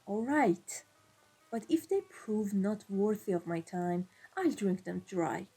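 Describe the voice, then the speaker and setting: Husky, dark-voiced female vampire mafia boss, acted by a woman; cold, imperious, measured ASMR roleplay over music. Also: mid-range voice, rough recording. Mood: haughty. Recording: music behind the voice. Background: classical background music.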